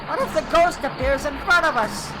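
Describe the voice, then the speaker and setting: An actor playing an excitable, easily frightened companion of a tank engine in an amateur audio drama, urgent and exclamatory. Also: high-pitched, normal speed, a crowd in the background, background noise.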